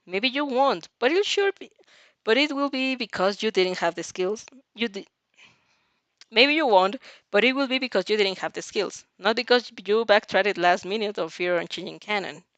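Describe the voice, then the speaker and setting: A young woman streaming a casual read-aloud of fan fiction, giggly, animated and scattered in her talk. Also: mid-range voice, normal speed, dry recording, lo-fi sound.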